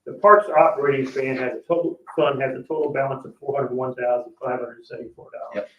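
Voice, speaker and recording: A man speaking as an official at a public meeting, explaining finances in a halting, plain-spoken way and often checking that listeners follow. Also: mid-range voice, flat delivery, slight background noise. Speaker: parks board official presenting the budget. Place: indoor public board meeting room. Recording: echoey meeting room, distant dull mic.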